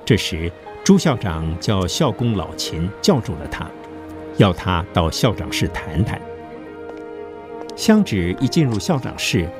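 A man narrating a scripted historical story for an audio drama. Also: low-pitched. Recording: music behind the voice. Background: clear background music.

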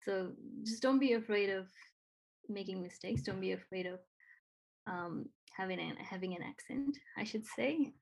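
A woman's voice: medium pitch, moderate pace, gravelly, darkish tone, low-quality recording. A woman from Nepal who speaks English with a Nepali accent, talking earnestly and encouragingly with frequent ums and repeated phrases. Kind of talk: casual conversation.

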